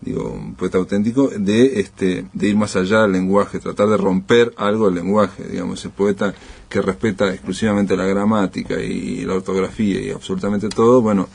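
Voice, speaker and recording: A man's voice: low voice, fairly even intonation, moderate pace, creaky, a little mellow, husky. A man with a Uruguayan Spanish accent hosting a radio interview, talking in loose, rambling phrases full of "digamos" and "este" and backchannelling with "ujú". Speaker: radio show host.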